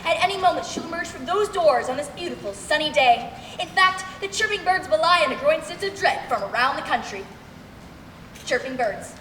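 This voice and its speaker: Young woman as bright, very high-voiced radio correspondent. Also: lively intonation. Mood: earnest, improvising. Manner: dramatic, over-deliberate announcing. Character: earnest female radio news correspondent padding for time. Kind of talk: comic mock radio news report. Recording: echoey hall, distant mic, faint noise.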